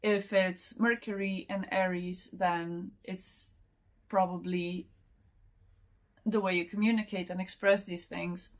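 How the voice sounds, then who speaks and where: Slow, meandering woman reading oracle cards. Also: on the low side, flat delivery. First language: not English. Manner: slow, meandering, laughs often between thoughts. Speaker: tarot and oracle card reader. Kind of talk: casual spiritual reading to camera.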